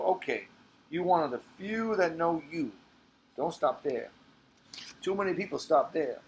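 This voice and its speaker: A man's voice: on the high side, fairly bright tone, pitched above the performer's own voice. Man acting insistent streetwise preacher of hard advice, noisy recording. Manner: emphatic, insistent, slangy hustler talk. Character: Walter, preachy streetwise man giving tough life advice. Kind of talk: solo stage monologue reading. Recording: clear background noise.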